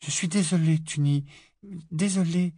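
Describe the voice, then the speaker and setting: A man's voice: mid-range voice, moderate pace, darkish tone, husky, dry recording, clean studio sound, voice pushed up, lightly acted. A man voicing an eager young girl who questions, pleads and accuses. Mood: pleading.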